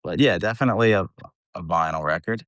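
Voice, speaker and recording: Low-voiced man rambling in casual chat. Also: fast, fairly bright tone, no room sound, clean studio sound. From United States, Philadelphia area. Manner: rambling, informal, salty-tongued. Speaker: metal band vocalist, interview guest.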